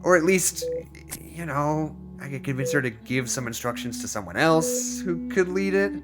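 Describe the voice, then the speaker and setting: A man's voice: on the high side, animated, moderate pace, over loud music, close to an everyday voice. A man playing an earnest, lonely, chatty character in an audio drama, rambling informally with wry humor. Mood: hopeful.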